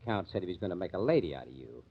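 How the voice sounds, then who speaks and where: An actor playing a blunt, scornful club owner who talks in sarcastic tough slang in a 1950s radio drama. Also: male voice, low-pitched, sing-song, very fast, husky, exaggerated voice. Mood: taunting, contemptuous. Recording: dull lo-fi old radio recording.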